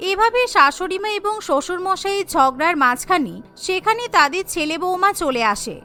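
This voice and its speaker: A woman's voice: extremely high pitch, lively intonation, normal speed, bright, forceful tone, faint music behind. A woman narrating a scripted cartoon story.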